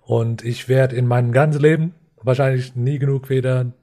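Non-native male guest, warm dark tone, even delivery, hesitant phrasing. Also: mid-range voice, normal speed. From Lakota reservation, US Northern Plains. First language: not German. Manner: hesitant, many fillers and restarts. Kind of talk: podcast interview, reflective explaining.

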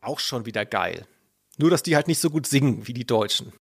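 A man's voice: medium pitch, animated, brisk, vocal fry, over loud music. A man with speech hosting a podcast, chatting casually in a rambling, self-interrupting way. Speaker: podcast host. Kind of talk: casual podcast chat about children's audio dramas.

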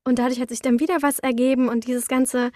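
A young woman chatting casually as a podcast guest, telling things in an expressive, anecdotal way. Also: high-pitched, fast, no room sound, studio quality. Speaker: interview guest, voice actor.

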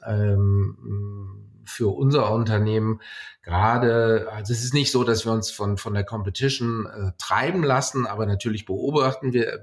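A man giving conversational interview answers as a guest, searching haltingly for words and mixing in English business jargon. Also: lowish voice. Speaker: interview guest, company product executive.

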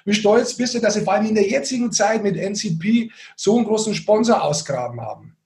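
This man has a notably high-pitched voice. He works as an interviewer on a podcast and phrases his questions in a loose, conversational style. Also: lively intonation, a little fast, a little mellow.

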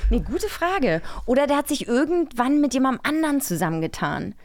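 A woman co-hosting a podcast, chatting casually. Her fairly high voice moves with lively intonation, playful and whimsical, in a dry close sound. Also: a little fast, no echo. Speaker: podcast host. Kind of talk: casual chat.

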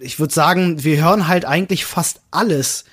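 A man's voice: fairly high pitch, moderate pace, fairly bright tone, slightly rough. A German man co-hosting a casual podcast, thinking aloud in an agreeable, colloquial way. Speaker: podcast co-host.

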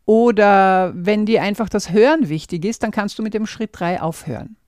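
A woman hosting a language-learning podcast, calmly walking the listener through a method step by step and speaking to them directly. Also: mid-range voice, normal speed, no echo, clean studio sound. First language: German. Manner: calm and explanatory, walks through numbered steps.

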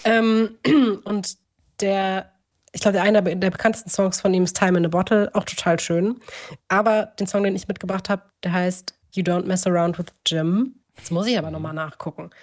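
A woman's voice: mid-range voice, steady tone, moderate pace. A woman co-hosting a podcast in a casual chat, talkative and often correcting herself mid-sentence. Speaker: podcast co-host.

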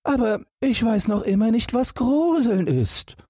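A man voicing a fearless, simple-minded young lad in a fairy tale, with a high, strained, naive voice. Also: expressive, a little slow, mellow, no echo, clean studio sound, exaggerated voice. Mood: naive, unimpressed.

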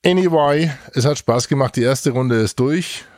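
A man's voice: medium pitch, normal speed, darkish tone, no echo, studio quality. A man hosting a casual podcast review, chatty and thinking aloud as he talks.

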